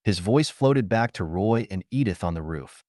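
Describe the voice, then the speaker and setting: Low-pitched, expressive male audiobook narrator. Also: moderate pace, no room sound, studio quality. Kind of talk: scripted dramatic story narration.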